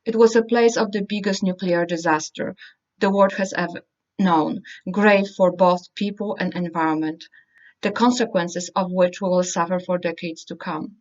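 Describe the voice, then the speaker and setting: A woman's voice: on the low side, fairly even intonation, moderate pace, a little mellow, muffled recording. A woman hosting a book event, reading prepared interview questions in a formal manner.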